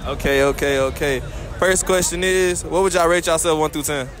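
A man's voice: on the high side, steady tone, moderate pace, fairly bright tone, a faint crowd. A young man interviewing strangers on a busy street at night, hyped and playful, often repeating himself. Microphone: handheld mic. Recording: crowded street noise.

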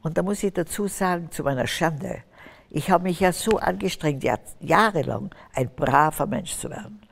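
Older woman, fervent preacher type, gravelly tone, hall reverb. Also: lowish voice, animated, moderate pace. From Austria. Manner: fervent, preaching, rising to emphatic bursts. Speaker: guest. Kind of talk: testimony interview on stage. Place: stage with curtains, large hall.

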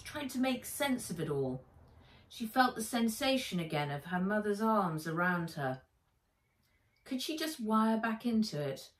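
Expressive middle-aged woman reading, very animated. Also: mid-range voice. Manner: expressive, emphatic. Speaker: author reading her book aloud. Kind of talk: dramatic storybook narration for children. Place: sitting room by a window, indoors. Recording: roomy, slightly distant home recording.

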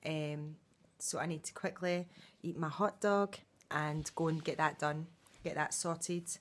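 A woman's voice: low voice, steady tone, measured pace. A woman, chatting casually into a phone held at arm's length for a holiday vlog, rambling with lots of fillers. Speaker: vlogger.

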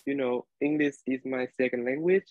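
Young man, Vietnamese accent, hesitant, flat and monotone, tinny laptop audio. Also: medium pitch, normal speed, no echo. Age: young adult. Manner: hesitant, many fillers, nervous. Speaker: college student. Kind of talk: class storytelling speech. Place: living room indoors. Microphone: laptop webcam on video call. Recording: dry, tinny video-call audio.

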